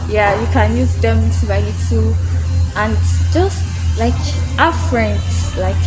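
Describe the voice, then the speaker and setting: Soft-spoken Nigerian woman. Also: fairly high pitch, poor audio. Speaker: interviewee, international student. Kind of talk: casual interview answers.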